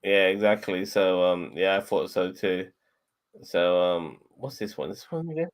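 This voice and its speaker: A man hosts a casual news podcast, chatting in an easygoing way. His voice is low, and he often stops and restarts his sentences. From London. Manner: relaxed, halting, many restarts. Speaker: podcast host. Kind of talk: casual chat.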